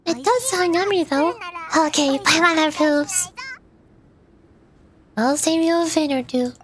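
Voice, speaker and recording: A woman voicing a tiny, excitable, food-loving female fairy companion from a video game in a cheeky, childlike way. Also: high voice, a little slow. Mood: perky, cheeky. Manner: childlike, speaks of herself in third person.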